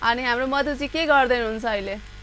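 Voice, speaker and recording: High-voiced woman hosting a phone-in, slightly congested. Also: fast, a bit bright. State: congested from a cold. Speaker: TV call-in show host. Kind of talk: live phone-in chat with callers.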